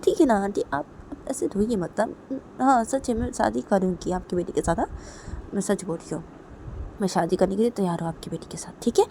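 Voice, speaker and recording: A woman voicing a reassuring young woman who gently coaxes and comforts, repeating herself. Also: medium pitch, fairly quick, a little hiss or hum, voice pushed up, natural voice. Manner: gentle, repetitive coaxing.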